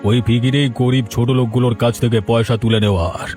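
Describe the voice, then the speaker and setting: A man's voice: mid-range voice, steady tone, fast talker, dark tone, soft background music, lightly acted. A man voicing a greedy, gloating village landlord in a cartoon, domineering and bossy in his talk. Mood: scornful, irritated. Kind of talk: dubbed cartoon dialogue.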